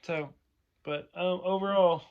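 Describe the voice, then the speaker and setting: A man's voice: high voice, steady tone, unhurried, smooth, phone-quality sound. A man hosting a casual review chat, rambling and chatty with lots of fillers, a bit tipsy. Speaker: show host.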